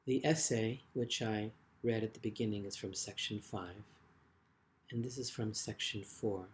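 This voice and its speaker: A man giving a literary reading as a poet, with deliberate, measured phrasing and frequent pauses. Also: lowish voice, fairly even intonation. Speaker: poet reading own work. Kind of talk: literary poetry reading with brief spoken introductions.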